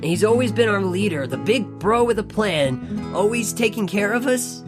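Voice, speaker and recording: A woman's voice: mid-range voice, expressive, moderate pace, music in the background, close to an everyday voice. A woman voicing a friendly, slangy teenage male mutant turtle in a comic dub, talking like a surfer dude as he narrates a letter. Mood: worried, tender.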